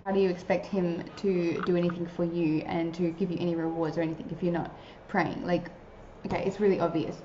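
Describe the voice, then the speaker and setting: Earnest young woman, mellow and roomy. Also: medium pitch, slight background noise. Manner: earnest, chatty, many filler likes. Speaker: vlogger giving advice to new converts. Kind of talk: casual advice talk to camera. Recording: roomy, slightly dull sound.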